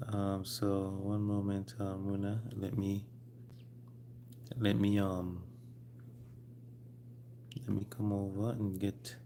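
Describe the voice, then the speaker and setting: A man with a Jamaican accent hosting a casual live stream, speaking haltingly and repeating his phrases. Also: lowish voice, completely flat delivery, dark tone, a little hiss or hum. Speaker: livestream host.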